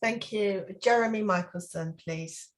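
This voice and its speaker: A woman's voice: mid-range voice, unhurried. A woman chairing an online meeting, calling on speakers in a polite, procedural way. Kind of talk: formal moderating of an online meeting.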